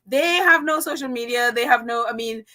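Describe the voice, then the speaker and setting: A woman's voice: fairly high pitch, moderate pace, bright, forceful tone. A woman with a Caribbean accent hosting a casual livestream, reading chat comments aloud with frequent laughs and fillers. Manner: chatty, laughs often, many fillers. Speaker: channel host reading live chat.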